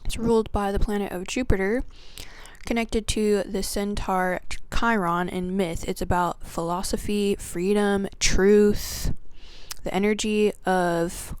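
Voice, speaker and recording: A woman teaching the zodiac signs in a spiritual video that opens with a prayer. She speaks reverently, draws her phrases out, drops into soft whispers and lists qualities one word at a time. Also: medium pitch, fairly even intonation, clean tone, no room sound. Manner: slow, drawn-out delivery with soft whispered phrases. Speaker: astrology teacher.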